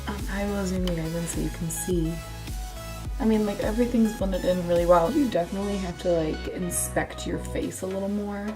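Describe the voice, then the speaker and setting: A woman in her twenties chatting casually through a makeup first impression, a little hoarse from being sick, with lots of filler words. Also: on the low side, fairly even intonation, a little fast, music in the background. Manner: chatty, self-deprecating, lots of "like". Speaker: beauty vlogger. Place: bedroom, indoors.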